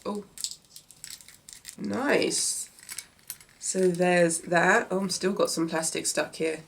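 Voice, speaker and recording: A woman's voice: lowish voice, gravelly, a bit bright, slight background noise. Nasal young woman unboxing clothes. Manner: chatty, enthusiastic, narrates what she handles. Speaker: fashion vlogger. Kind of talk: casual unboxing and try-on. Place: small indoor room.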